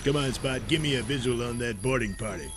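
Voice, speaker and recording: A man voicing a crude, slow-witted space trucker captain with a slangy, blustering way of talking in a comedy audio drama. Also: mid-range voice, background noise, close to an everyday voice. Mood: exasperated.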